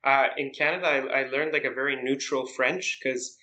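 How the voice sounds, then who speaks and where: A young man as a podcast guest, telling stories casually with frequent pauses and restarts. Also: mid-range voice, poor audio. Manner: relaxed storytelling, hesitant with "uh" and restarts. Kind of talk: casual chat.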